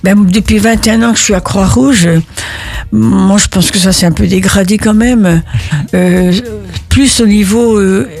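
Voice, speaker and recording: An older retired woman from Italy, answering interview questions in a halting way, full of restarts. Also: medium pitch, steady tone, creaky, a little mellow, faint music behind. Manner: halting, with many restarts and fillers. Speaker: interviewee, neighborhood volunteer.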